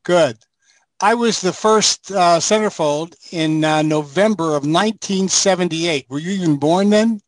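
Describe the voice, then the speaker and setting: A man's voice: high-pitched, a little slow, no room sound, poor audio. An older man joining a show remotely, telling stories in a jokey, chuckling way. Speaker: guest. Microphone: remote call mic.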